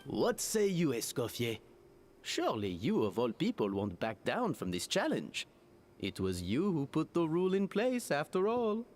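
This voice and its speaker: An actor playing a polite, formal middle-aged restaurant manager in a game, who speaks in flowery, courteous phrases. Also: male voice, fairly high pitch, wildly swooping pitch, normal speed, a little hiss or hum. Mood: courtly. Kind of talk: game voice acting.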